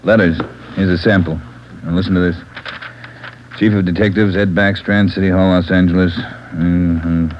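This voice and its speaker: A gruff chief of detectives with a lowish voice who barks out orders in short bursts, as an actor plays him. The sound is dull and lo-fi, from an old radio broadcast. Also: male voice, little pitch movement, moderate pace, background noise, natural voice. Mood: brusque. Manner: brusque, commanding. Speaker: police chief. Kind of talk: 1940s radio drama acting.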